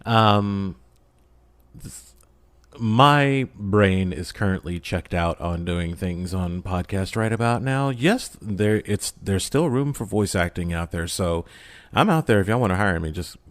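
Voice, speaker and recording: A deep-voiced man talks casually as a guest on a podcast. He is playful and jokey, slipping into theatrical asides like a voice actor. The sound is dry, with little room echo. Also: lively intonation, unhurried. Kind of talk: casual chat.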